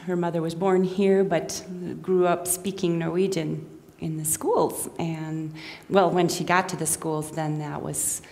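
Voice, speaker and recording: Middle-aged woman from Minnesota, guest speaker, lowish voice, very slow and chatty with ums, long hall reverb. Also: gravelly, darkish tone. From Minnesota, USA. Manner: unhurried and conversational, with many ums and restarts. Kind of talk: informal talk to a gathering.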